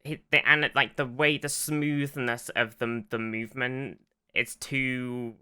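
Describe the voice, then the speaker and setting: Male co-host, strikingly bright and smooth, informal and excitable, recapping TV in loose conversational phrases. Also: mid-range voice, measured pace. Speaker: podcast co-host. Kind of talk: casual TV episode recap chat.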